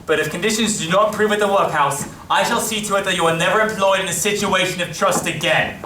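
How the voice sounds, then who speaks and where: In a roomy, echoing hall, picked up from a distance, a man plays a stern yet kindly English gentleman for a radio play. He speaks formally and declaims in a fairly high male voice. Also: fast talker, lightly acted. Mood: stern, accusing. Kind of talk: amateur stage radio play acting. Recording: echoey hall from far off, faint noise.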